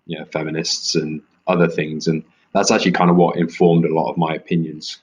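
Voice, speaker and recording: A deep-voiced Englishman, talking as a guest on a podcast. He explains things carefully and at length, hedging as he goes. His voice comes through a dull, lo-fi remote connection. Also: little pitch movement, brisk. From England. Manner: thoughtful, explains at length with qualifiers. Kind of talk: explanatory interview talk.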